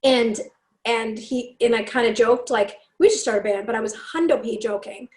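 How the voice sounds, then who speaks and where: A woman from Canada with a raspy, fairly deep voice, telling stories in a casual chat and acting out the lines she quotes. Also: normal speed, roomy sound. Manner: animated, acts out quotes, laughs often. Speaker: podcast guest, singer and speaker.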